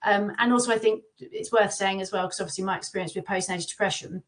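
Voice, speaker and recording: A woman's voice: medium pitch, steady tone, quick pace. A woman from the UK speaking casually as a guest in a live Q&A, chatty and reassuring with many fillers. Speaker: guest from a baby class platform. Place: remote live video call.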